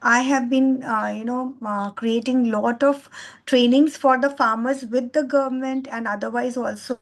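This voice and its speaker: A woman's voice: on the high side, steady tone, normal speed. A middle-aged Indian woman whose first language is Hindi, giving an earnest, rambling talk with many fillers and restarts as a guest trainer over a video call. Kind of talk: online lecture.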